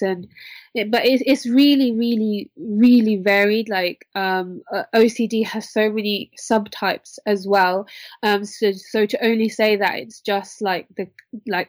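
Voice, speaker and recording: A young woman explaining earnestly and conversationally as a podcast guest, often softening her phrases as she goes. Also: mid-range voice, no echo. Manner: earnest, explanatory, hedging with "sort of". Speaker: podcast guest, mental health worker.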